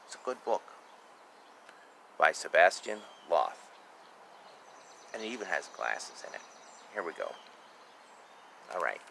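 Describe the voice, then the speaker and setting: A man's voice: low voice, very animated, measured pace, creaky, pauses often, bright, forceful tone, slight background noise. A man reading a picture book aloud expressively, with informal asides about the illustrations. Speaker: reader of a children's picture book.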